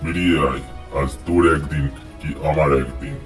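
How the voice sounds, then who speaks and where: A pompous cartoon tiger with an extremely low voice. The beast is hot-headed and boastful, blustering out commands like a self-declared king, with light music underneath. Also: moderate pace. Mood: angry challenge. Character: boastful, hot-headed male tiger claiming jungle kingship. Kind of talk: children's cartoon voice acting in Bengali fable.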